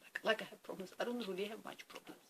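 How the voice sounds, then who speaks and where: A Ukrainian woman whose first language is Russian talks in a subdued, weary video diary, halting and searching for her words. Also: mid-range voice, fairly even intonation, background noise. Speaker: vlogger.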